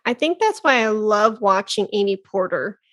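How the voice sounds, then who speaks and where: A woman's voice: mid-range voice, animated, normal speed, a bit bright. A woman in her thirties hosting a podcast, chatting casually and exclaiming often with laughs. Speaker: podcast host. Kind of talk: casual interview chat.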